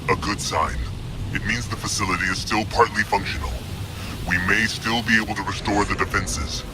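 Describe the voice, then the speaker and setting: An actor voicing a stern, cautious alien warrior commander in a video game, measured and dryly authoritative. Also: male voice, lowish voice, steady tone, very noisy, natural voice. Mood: grave, commanding. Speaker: military commander. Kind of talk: dramatic video game voice acting. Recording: loud game music behind the voice. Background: loud music.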